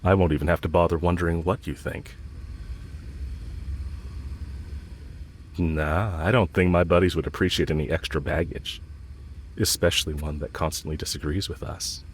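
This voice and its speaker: Man acting a low-voiced lovestruck villain. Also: fairly even intonation, slight background noise, natural voice. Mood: wry, tentative. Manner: hesitant, earnest, halting. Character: lovestruck male villain confessing to his enemy, yearning. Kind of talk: intimate audio roleplay acting.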